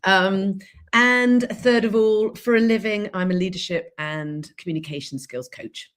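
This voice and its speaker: A woman with an English accent speaks as a podcast guest over a video call. Her voice sits in a middle range, and her talk is lively and easy, with ready laughter. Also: mid-range voice, a little slow. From England. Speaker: guest, leadership coach. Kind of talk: conversational interview.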